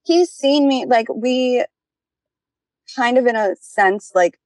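A woman's voice: fairly high pitch, slow, darkish tone, no echo. Young woman caller, dry close sound. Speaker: caller seeking relationship advice. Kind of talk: casual conversational personal account.

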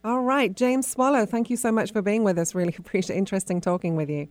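A woman's voice: mid-range voice, fast talker, a little mellow, no room sound. A woman hosting a radio show, speaking to guests and listeners as a presenter. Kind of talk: radio interview and sign-off.

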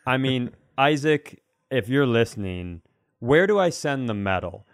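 A man's voice: mid-range voice, very animated, measured pace, dry recording. Man in rambling, wry podcast chat, dry sound. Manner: rambling, tells anecdotes with wry speculation. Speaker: podcast co-host and comedian. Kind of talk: casual comedic chat.

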